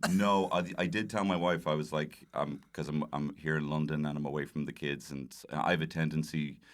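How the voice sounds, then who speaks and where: Very deep-voiced man, rambling and jokey. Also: fairly even intonation, fairly quick. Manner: rambling, jokey, self-deprecating. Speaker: podcast guest, comedian. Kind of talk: casual comic conversation.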